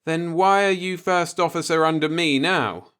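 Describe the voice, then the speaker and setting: A man's voice: on the high side, normal speed, a bit bright, smooth, pitched above the performer's own voice, lightly acted. A man voicing a stern, distrustful young spaceship captain in a sci-fi audiobook, blunt and sardonic but earnest.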